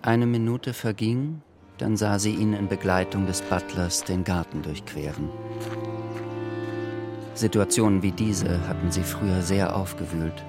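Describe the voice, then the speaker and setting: A man narrating a literary radio drama in a measured voice. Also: low-pitched, over music.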